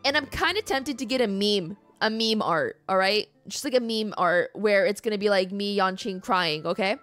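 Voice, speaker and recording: A woman's voice: medium pitch, normal speed, a bit bright, no echo. Chatty woman livestreamer over background music. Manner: informal, playful, mildly profane. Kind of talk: casual chat with live audience.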